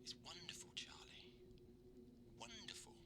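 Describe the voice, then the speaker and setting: An actor playing a friend on the phone in a video game, speaking softly and whispery. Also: male voice, medium pitch, a little slow, lightly acted. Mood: calm, coaxing. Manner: soft, whispery, lilting delivery. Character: friend on the phone, adult man, oddly calm.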